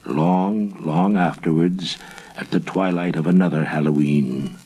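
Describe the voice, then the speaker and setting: A man with a husky voice telling a ghostly folk tale in a theatrical, suspenseful storyteller's manner. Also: sits low, dark tone. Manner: theatrical, drawn-out suspenseful phrasing.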